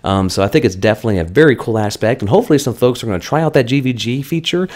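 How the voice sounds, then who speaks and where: A man hosting a weekly game news update in a chatty, conversational way, his voice slightly hoarse. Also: medium pitch, very fast, a little mellow. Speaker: gaming channel host.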